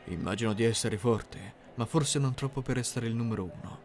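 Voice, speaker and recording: A man playing an earnest, socially awkward high-school boy in an anime-style roleplay, deadpan, literal and hesitant. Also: a little fast, lightly acted. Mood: pensive, awkward. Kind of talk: scripted anime fan-dub roleplay. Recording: music behind the voice.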